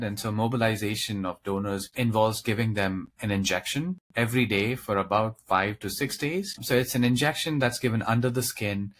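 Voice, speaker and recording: A man's voice: fairly low pitch, a bit bright, a little hiss or hum. A man speaking as a physician guest in an interview, explaining medical matters in plain lay terms. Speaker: expert guest, transplant physician.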